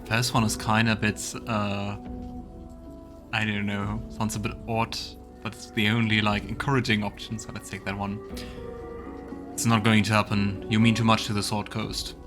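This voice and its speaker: Low, even-voiced man musing over a game. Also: normal speed, music in the background. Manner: musing, thinking aloud. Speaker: let's play host. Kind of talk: casual game commentary and reading dialogue options.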